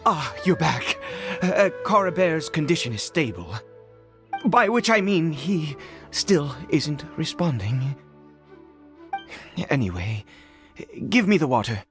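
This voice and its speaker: Halting, weary father, swinging pitch. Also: big pitch swings, fairly bright tone, exaggerated voice. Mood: hesitant, businesslike. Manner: hesitant, halting, stammering. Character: weary, anxious, self-doubting ageing father in a fantasy world. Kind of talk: dramatic video game voice acting. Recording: background music under the voice.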